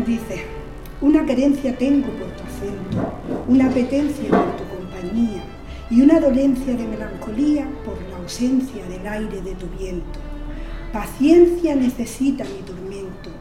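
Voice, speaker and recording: A woman from Spain reciting poems at a community reading, hesitant in her introductions and slow and declaiming in the verses. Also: mid-range voice, echoey room, distant mic, rough recording. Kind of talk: poetry recitation with spoken introductions.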